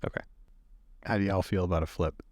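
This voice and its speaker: Deep-voiced man playing a tabletop game. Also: fairly even intonation, a little fast, a little mellow, dry recording, studio quality. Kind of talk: casual in-game narration and table talk.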